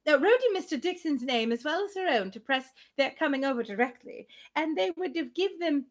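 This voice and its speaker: Gushing spinster gossip, acted by a female reader, high-pitched and breathless, rambling good-naturedly through a classic novel scene. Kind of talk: classic novel read aloud in character.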